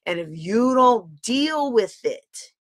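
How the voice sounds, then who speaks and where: A woman's voice: fairly high pitch, lively intonation, takes it slowly. Candid woman coach with a raised voice. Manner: candid, repeats phrases, asks the viewers questions. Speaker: life coach. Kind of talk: live-streamed motivational talk.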